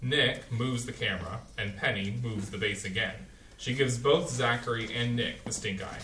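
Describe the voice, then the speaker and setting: Bright man reading stage directions. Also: mid-range voice, moderate pace, slight background noise. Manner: plain, even, matter-of-fact. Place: small community playhouse stage. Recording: echoey hall, distant mic.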